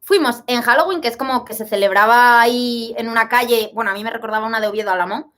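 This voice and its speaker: A woman from Spain co-hosting a casual livestream, telling stories in an animated way and reading out viewer questions. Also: a little high, fast talker. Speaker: livestream co-host.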